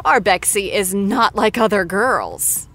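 A woman's voice: medium pitch, expressive, takes it slowly, a bit bright. A woman playing a gushing, playful TV after-show host, chatting with her guest. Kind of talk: chatty interview hosting.

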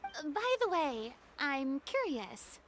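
A woman's voice: sits high, sing-song, slow, fairly bright tone, slight background noise, heavily acted. A woman voicing a proud, theatrical young woman in a game, grandiose and boastful. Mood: haughty. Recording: game audio with music behind.

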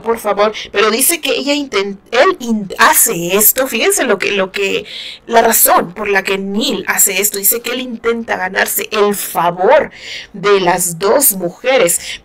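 A woman's voice: fairly high pitch, fairly bright tone. A woman with a northern Mexican Spanish accent giving casual, chatty commentary on a novel, retelling it playfully with asides to her listeners. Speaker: book commentator, channel host.

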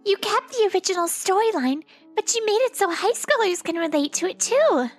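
A woman's voice: very high-pitched, expressive, brisk, soft background music. A woman voicing a young actress who works undercover as a lunch lady, in a manga dub. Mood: impressed. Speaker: actress character.

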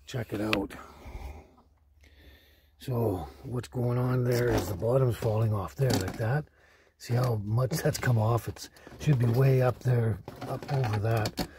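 Older male vlogger, mid-pitched, hesitant and casual, noisy handheld outdoor recording. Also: fairly even intonation, a little slow. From Canada. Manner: hesitant, rambling delivery. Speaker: vlogger, host. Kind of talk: casual unscripted vlog talk. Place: outdoors at a forest campsite and inside a vehicle canopy. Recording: clear background noise, handheld outdoor audio.

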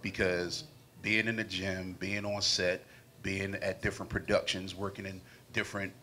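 A man's voice: low-pitched, flat delivery, normal speed, pushed and bright, a little hiss or hum. A man on a panel, earnest and building long lists as he talks, on a handheld mic. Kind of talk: casual panel interview.

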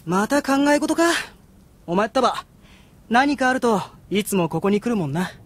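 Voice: mid-range voice, animated, moderate pace, natural voice. An actor voicing a candid teenage boy hero in an anime audio drama, casual and blunt. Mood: casual, curious. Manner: casual, blunt boyish speech. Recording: music behind the voice.

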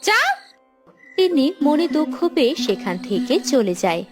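A woman's voice: sits high, sing-song, normal speed, over loud music. A woman giving scripted narration for a children's story.

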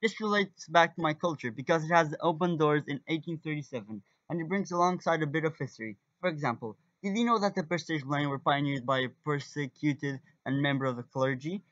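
A young man in his teens presents an amateur documentary in Portuguese-accented English. His voice sits a little high for a man. The recording is dry, with hardly any room in it. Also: fast talker, few pauses, fairly bright tone, dry recording, lo-fi sound. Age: teenager. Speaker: student presenter and guide.

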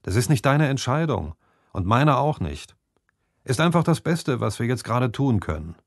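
A man's voice: medium pitch, sing-song, normal speed, no room sound, studio quality, voice pushed up. A man voicing a stern, plain-spoken village marksman in a fantasy audiobook. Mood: firm, persuading. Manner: firm, plain-spoken, admonishing.

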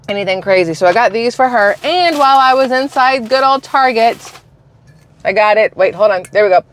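A woman in her forties chats candidly into a dashboard camera from the driver's seat of a parked car. She rambles through everyday stories, slipping into quick quoted voices of the people in them. The sound is close and enclosed, like a car interior. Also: mid-range voice. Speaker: vlogger. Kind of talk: casual chatty vlog. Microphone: camera mounted on the dashboard.

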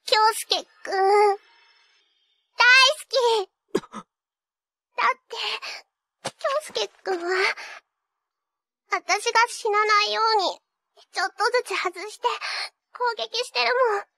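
Casting: a scatterbrained teen magical girl for anime, extremely high and bright, melodramatic and goofy. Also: takes it slowly, creaky, pauses often, dry recording, exaggerated voice. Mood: tender, pleading. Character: bubbly, clumsy teenage magical-girl cosplay idol. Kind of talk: Japanese anime voice acting.

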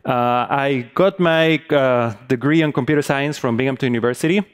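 A man's voice: mid-range voice, normal speed, clean tone. A man in his thirties from Mexico with a Mexican Spanish accent, giving a conference talk on a large stage with many hesitant fillers. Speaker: conference speaker.

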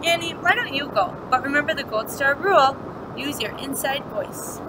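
A woman voicing a patient male kindergarten teacher from a storybook, measured and instructive. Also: high-pitched, animated, background noise. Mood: calling, instructive.

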